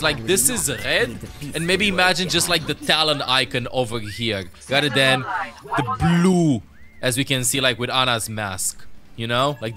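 A man in his thirties giving casual, informal gameplay commentary, thinking aloud into a close desk mic. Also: fairly high pitch. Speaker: gaming streamer. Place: small indoor streaming room. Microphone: close desk microphone on boom arm. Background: loud game music and sounds.

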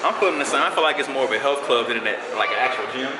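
A man's voice: medium pitch, rapid-fire, a loud crowd, poor audio. A young man filming a casual vlog in a large gym, teasing his friends and joking about their workout. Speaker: vlogger. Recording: loud gym noise.

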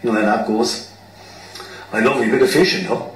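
A man's voice: mid-range voice, moderate pace, natural voice. Man voicing a resigned, rambling Irish musician for radio drama, distant from the mic. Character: rambling, down-on-his-luck Irish bandmate Pat. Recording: roomy, distant mic, background noise.

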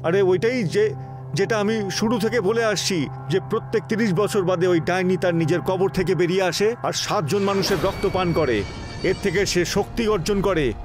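A man's voice: sits high, normal speed, lightly acted. Elderly cartoon priest, male actor: earnest, foreboding, anxious warnings, delivered emphatically over faint music. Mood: grave warning.

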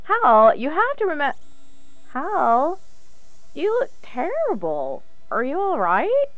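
A woman plays a caring, earnest wife in a radio play. Her voice sits fairly high and is recorded close and dry, with a questioning, solicitous manner. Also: wildly swooping pitch, slow pace, dry recording, heavily acted, low-quality recording. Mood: concerned, caring. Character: caring, earnest activist wife looking after her husband. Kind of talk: radio drama acting.

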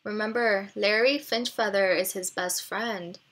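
Female teacher, bright clear-cut tone, expressive picture-book read-aloud with explanatory asides. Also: medium pitch, a little slow. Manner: expressive, explains to young listeners with check-in questions.

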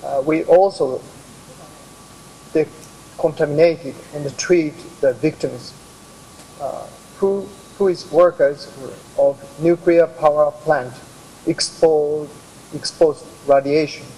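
A middle-aged Japanese man with a Japanese accent, a hospital doctor presenting slides, halting with many pauses. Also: a little high, steady tone, warm tone, a little hiss or hum, low-quality recording. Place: office with bookshelves. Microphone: video call.

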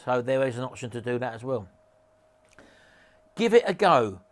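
A man, giving an informal, encouraging, plain-spoken sales pitch to camera in a small home office. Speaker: presenter promoting an auction reselling course.

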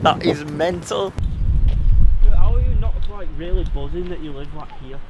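A man's voice: fairly high pitch, normal speed. A young man exploring with friends, talking in casual, sweary banter. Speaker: urban explorer in a group vlog. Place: outdoors on woodland trail, then inside cave. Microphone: camera microphone at varying distance. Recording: very noisy outdoor camera recording.

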